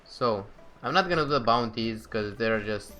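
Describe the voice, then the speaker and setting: A man's voice: medium pitch, animated, moderate pace, bright, forceful tone, soft background music. A man giving offhand, informal commentary as he plays through a video game. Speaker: gaming video host. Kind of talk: casual gameplay commentary.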